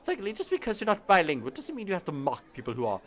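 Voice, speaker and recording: A man reading the lines of a sharp-tongued young woman working a cafe counter, in a dry, cutting tone. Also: sits high, huge pitch swings, racing, voice pushed up, full character voice. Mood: curt.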